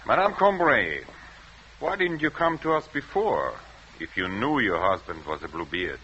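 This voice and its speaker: Deep-voiced questioning police officer. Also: male voice, very animated, normal speed, sharp tone. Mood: probing, reassuring. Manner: courteous, probing. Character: steady, questioning male police officer on guard. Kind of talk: 1940s radio suspense acting. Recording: dull, noisy vintage radio recording.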